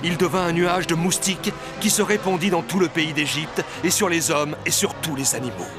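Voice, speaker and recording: Male narrator, fairly high voice, music behind. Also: normal speed. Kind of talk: scripted storybook narration. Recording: music under the voice.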